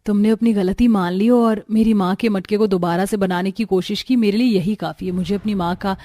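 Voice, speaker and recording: A woman voicing a gentle, sentimental, forgiving daughter-in-law in a cartoon moral story. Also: mid-range voice, fairly even intonation, brisk, dry recording, natural voice.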